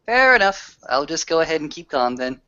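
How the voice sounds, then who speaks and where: Bright-voiced man playing a tabletop game. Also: fairly high pitch, big pitch swings, fairly quick, clear, resonant tone. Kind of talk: casual in-game chat. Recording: dull, lo-fi group session recording.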